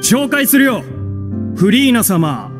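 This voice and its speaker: A man's voice: very high voice, very animated, takes it slowly, exaggerated voice. A man playing a polite, earnest young theater troupe member in an anime game, explaining things courteously. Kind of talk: Japanese anime game acting. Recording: music behind the voice.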